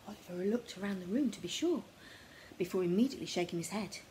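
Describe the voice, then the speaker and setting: A woman reading a children's story as narrator, expressive and dramatic, sometimes dropping to a hush. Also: medium pitch, fast talker, a little hiss or hum, rough recording. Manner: expressive, dramatic, hushed at times. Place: room at home, indoors.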